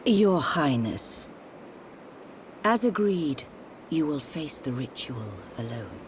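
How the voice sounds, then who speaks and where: Sinister ritual priest, slow, deliberate, formal, ceremonious, game cutscene, faint rumble behind. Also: male voice, sing-song. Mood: solemn, ominous. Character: solemn, sinister ritual priest of an imperial court. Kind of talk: video game cutscene acting.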